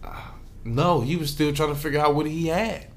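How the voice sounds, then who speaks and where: A man's voice: a little high, moderate pace, slight background noise. A man with an American accent co-hosting a podcast in casual, profane, slangy banter. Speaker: podcast co-host.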